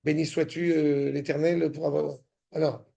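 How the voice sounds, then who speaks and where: A man teaching a Torah study class, halting and repeating words, often checking that listeners follow, sometimes insistent. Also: fairly high pitch, flat delivery, creaky, warm tone. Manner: turns insistent and indignant.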